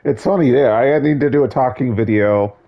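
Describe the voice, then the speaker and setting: A hoarse man losing his voice, giving casual, opinionated, digressive commentary on a video game. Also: medium pitch, a little fast, highs cut off. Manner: opinionated, digressive, many restarts.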